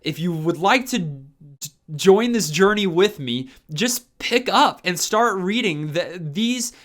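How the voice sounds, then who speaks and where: A man's voice: sits high, lively intonation, slow pace, fairly bright tone. A man talking casually and enthusiastically as a fan, hosting a review video. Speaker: book reviewer and channel host.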